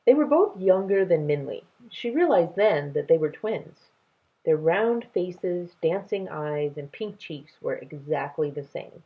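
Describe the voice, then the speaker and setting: A woman narrates a children's story with dramatic pauses and emphasis. Her pace is unhurried and her melody very lively, heard through a narrow, tinny phone-like recording. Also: low voice, slightly rough. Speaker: story reader. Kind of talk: expressive children's book read-aloud narration.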